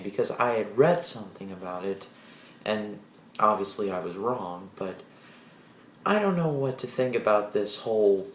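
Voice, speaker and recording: Man, deep voice, unhurried rambling review, roomy echo, faint background hiss. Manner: rambling, restarts, animated asides. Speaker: wrestling show reviewer. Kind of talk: casual solo video review.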